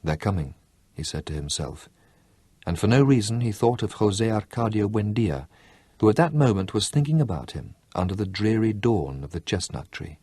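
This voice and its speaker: A man narrating a novel as an audiobook, in a measured, expressive storytelling manner. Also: on the low side, husky, no echo. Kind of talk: scripted narration.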